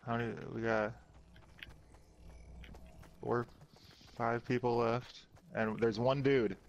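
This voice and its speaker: A man's voice: medium pitch, level tone, slow pace, dull audio. A man playing an online shooter with his squad, giving casual, slangy callouts with some swearing. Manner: profane, slangy. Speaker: gamer in a squad. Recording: noisy game chat with game sounds.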